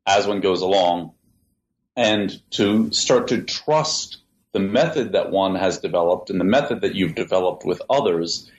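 Guy explaining slowly in an interview, kinda low voice, dull lo-fi audio. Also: a little mellow. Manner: measured, restarts words often. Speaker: interview guest, translator. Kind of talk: thoughtful interview answers. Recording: dull lo-fi sound, faint hiss.

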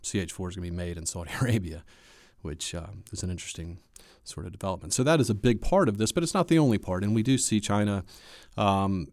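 Male expert guest. Low register, conversational explanatory delivery, measured, dry recording. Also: darkish tone, no echo. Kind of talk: conversational expert analysis in an interview.

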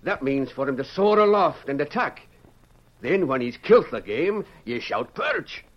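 An actor playing a kindly old Scottish falconer with a thick accent, in 1940s radio acting style. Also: male voice, mid-range voice, expressive, measured pace. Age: older. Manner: hearty, burring, fatherly. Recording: dull old radio recording with faint hiss.

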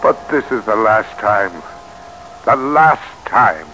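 An earnest, conflicted American statesman, on a noisy vintage radio broadcast. His bright, forceful voice swings widely in pitch. He speaks in a halting, theatrical, old-time drama style. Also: expressive, exaggerated voice. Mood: anguished, resigned.

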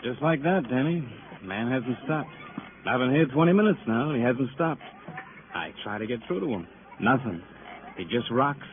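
An actor playing a talkative, wry and weary police sergeant in a 1950s radio crime drama, speaking in short colloquial sentences. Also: male voice, mid-range voice, lively intonation, normal speed, close to an everyday voice. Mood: exasperated. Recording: noisy, narrow-band vintage radio recording.

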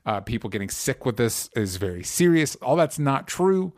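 A man co-hosts a casual chat, speaking hesitantly and often restarting his sentences, with lots of easy agreement. His voice sits at a middle pitch. The sound is dry and close, with no room echo. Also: sing-song, normal speed. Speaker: co-host.

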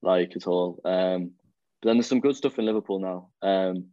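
A man's voice: sits low, moderate pace, warm tone, no echo. A young man, chatting as a podcast guest and rambling through plenty of fillers and restarts. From the Wirral, England. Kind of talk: casual chat. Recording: dull lo-fi, dry.

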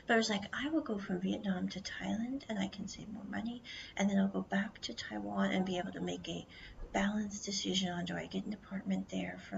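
A woman from the United States telling a rambling travel story, mostly in a hushed whisper, chatty and full of "I was like". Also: medium pitch. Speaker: vlogger. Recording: dull lo-fi audio with clear background noise.

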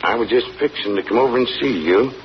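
An actor playing a steady country neighbor with a calm slow rural drawl, in 1940s radio acting style. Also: male voice, mid-range voice, natural voice. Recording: noisy muffled old radio recording.

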